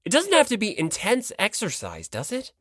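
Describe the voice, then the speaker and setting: An ordinary teenage boy, acted by an audiobook reader, exasperated and put-upon. His voice is raised and lively as he protests, heard close and dry. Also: medium pitch, moderate pace, no echo, voice pushed up. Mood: uneasy. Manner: protesting, indignant retorts.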